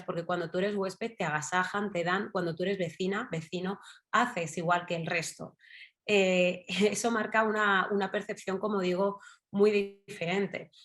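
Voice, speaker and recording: A woman from Spain with a Madrid accent speaks as a guest in an online discussion. She thinks aloud and drifts into asides, with many fillers and tag questions. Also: lowish voice. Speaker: journalist guest. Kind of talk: casual talk in an online panel.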